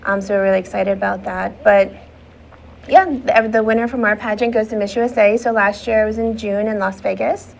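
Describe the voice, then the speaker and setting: A woman's voice: mid-range voice, steady tone, fairly quick, vocal fry, low-quality recording. A woman from the pageant organization explaining its rules, with many "um"s and "you know"s.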